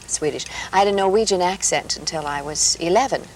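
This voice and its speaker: A woman's voice: lowish voice, brisk, darkish tone, poor audio. A woman from Minnesota, a guest on a 1970s television interview show, telling stories about her past in a gracious, anecdotal way. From Minnesota, USA. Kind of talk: relaxed talk show interview. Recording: 1970s television broadcast, light hiss.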